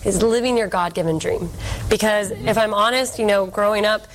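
A woman in her thirties, a speech therapist, speaking as a guest in a casual interview and telling stories in an animated, heartfelt way. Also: medium pitch, moderate pace, a little hiss or hum, poor audio.

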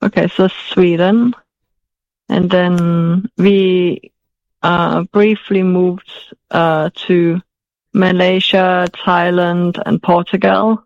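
A woman's voice: on the low side, flat delivery, extremely slow, no room sound, lo-fi sound. A woman in her forties raised in Denmark, answering interview questions hesitantly with many fillers and restarts. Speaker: guest, author.

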